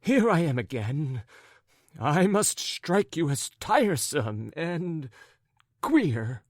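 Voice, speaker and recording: A flustered middle-aged gentleman from a storybook, acted out by a man, pitched high and swooping up and down. He is wordy and apologetic, forever stumbling and correcting himself in an agitated, muddled way. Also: big pitch swings, takes it slowly, no echo, studio quality, heavily acted. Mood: apologetic, nervous.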